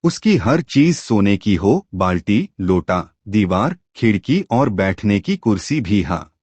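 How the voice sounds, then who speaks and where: A man's voice: on the low side, very animated, slow, a little mellow, breathy edge, dry recording, full character voice. A man voicing a boastful, dismissive newly rich villager in a folk tale. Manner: bossy, dismissive. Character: boastful, gruff newly rich man obsessed with his lavish bathroom.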